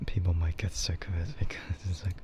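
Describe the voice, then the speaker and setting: A soft-spoken man streaming casual, rambling ASMR, often slipping into a half-whisper close to the microphone. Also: sits low, flat delivery, a little fast. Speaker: VTuber livestreamer.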